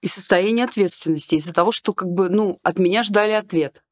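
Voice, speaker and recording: Woman telling a lively story. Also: medium pitch, fast. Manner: lively, detailed storytelling from her work with children. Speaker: podcast guest. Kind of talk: casual conversation.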